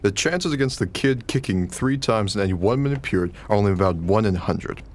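Man as worried expectant husband, lowish voice, earnest, argumentative, insistent questioning, radio drama acting. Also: expressive, fast, a little mellow, lightly acted. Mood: agitated. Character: anxious, argumentative, analytical young expectant husband.

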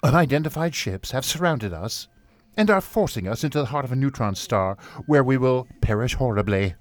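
A man's voice: mid-range voice, animated, a little fast, no echo, lightly acted. A man playing a flippant, lecherous starship first officer in a comedic radio play, with a breezy, hammy delivery. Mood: matter-of-fact. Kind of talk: comedic radio theatre parody acting.

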